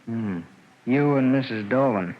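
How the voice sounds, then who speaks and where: An actor playing a weary but kindly police detective, talking casually in an old radio drama. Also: male voice, medium pitch, measured pace, lightly acted. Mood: matter-of-fact. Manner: casual, conversational. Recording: dull old radio with faint noise.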